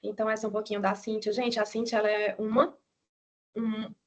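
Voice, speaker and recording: A woman's voice: medium pitch, little pitch movement, brisk. A middle-aged woman with a Ceará accent gives an online nursing lecture in a chatty way, often adding tag questions. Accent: Ceará, Brazil. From Ceará, Brazil. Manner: conversational, many "né" tag questions. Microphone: video call.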